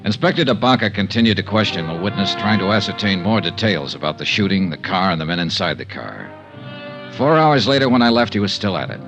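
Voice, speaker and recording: An actor playing a cool, tough, persistent insurance investigator, dryly delivered in 1950s radio detective style. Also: male voice, deep voice, animated, brisk, music in the background. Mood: dry narration. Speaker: lead character and narrator. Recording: muffled 1950s radio recording.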